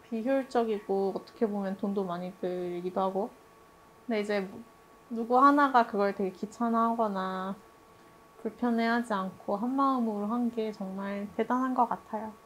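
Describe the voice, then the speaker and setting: A woman's voice: medium pitch, normal speed, fairly bright tone, slight background noise, poor audio. A woman moderating a team's casual group discussion in an informal, conversational way.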